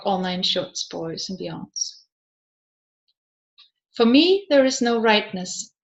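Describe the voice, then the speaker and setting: A woman reading scripted nonfiction narration aloud in a measured way, as an audiobook reader working through questions and answers. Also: mid-range voice, lo-fi sound.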